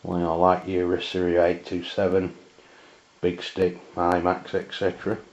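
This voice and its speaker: A man with an English accent giving a casual hands-on product review in a halting, matter-of-fact way. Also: sits low, completely flat delivery, a little mellow. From England. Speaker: amateur radio reviewer. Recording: dull, lo-fi camera sound.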